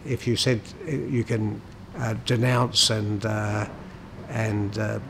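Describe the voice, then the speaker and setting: A man giving reflective commentary on his poetry in formal, deliberate, halting phrases. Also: fairly low pitch, fairly even intonation, vocal fry, fairly bright tone, a little hiss or hum. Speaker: poet and lawyer discussing his own work.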